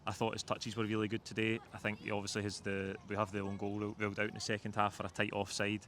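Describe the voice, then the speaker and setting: A man's voice: on the low side, completely flat delivery, fast, a bit bright. A Scottish man hosting a post-match football fan chat, giving his view and putting questions to others. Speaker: host of football fan show. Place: outdoors outside a football stadium. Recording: outdoor ambient noise behind.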